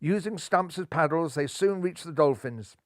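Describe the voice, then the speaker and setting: An older man in his sixties narrates a children's book with lively, theatrical delivery. His voice sits fairly high for a man. Recorded close on a lapel mic in a living room, with a dry sound. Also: moderate pace, no room sound. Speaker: storybook narrator. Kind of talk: expressive children's story reading. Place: living room, seated in armchair.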